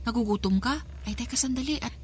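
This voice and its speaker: An actress playing a gentle, downtrodden young housemaid in a Filipino radio drama, with a soft, emotional, pleading delivery. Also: female voice, on the high side, fast talker, slight background noise, lightly acted. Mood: puzzled, cautious.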